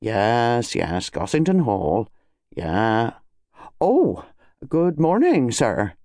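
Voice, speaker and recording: A man's voice: mid-range voice, huge pitch swings, very slow, mellow, dry recording, studio quality, voice pushed down, exaggerated voice. A man playing an officious yet deferential English village police constable in an audiobook story.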